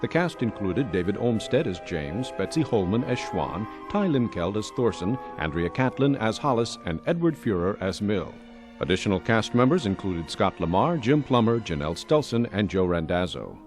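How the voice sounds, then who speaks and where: Man, radio drama announcer, scripted credits, lively intonation, medium-low pitch, prominent music behind. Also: normal speed.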